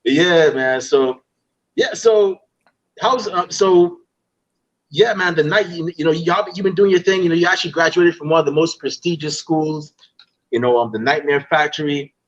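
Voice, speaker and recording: A young man from Guyana hosting a casual interview, animated and playful, talking in easy slang. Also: a little high, normal speed, muffled recording. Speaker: podcast host.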